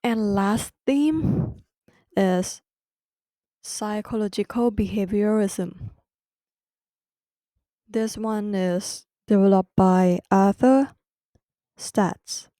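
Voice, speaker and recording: Thai-accented woman hosting a study podcast, slow and halting, mellow tone, dry close sound. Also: medium pitch, dry recording. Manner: careful, halting second-language delivery with restarts. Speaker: podcast host. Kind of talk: educational podcast explanation.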